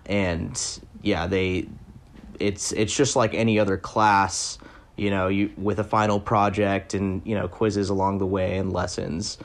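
Low-voiced man explaining conversationally. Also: little pitch movement, a little slow. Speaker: education reporter. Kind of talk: conversational interview talk.

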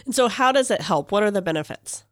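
A woman's voice: mid-range voice, animated, quick pace, dry recording, clean studio sound. An American woman hosting a podcast, moving between scripted narration and interview questions. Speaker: podcast host.

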